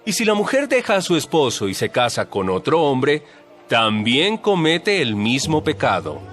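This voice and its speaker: A male actor playing a calm, authoritative religious teacher in a dramatized audio Bible, speaking in solemn, measured, emphatic phrases. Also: a little high, soft background music. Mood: grave.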